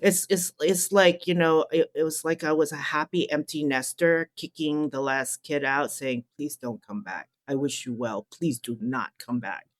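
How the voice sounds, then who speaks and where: A woman's voice: sits low, moderate pace, hardly any pauses, dry recording. A middle-aged woman answering as an interview guest and academic author, candid, colloquial and emphatic.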